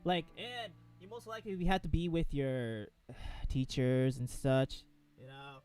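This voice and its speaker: A man's voice: mid-range voice, steady tone, unhurried, darkish tone, faint music behind. A man co-commentating on a let's play in a loose, slangy, conversational way. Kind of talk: casual chat.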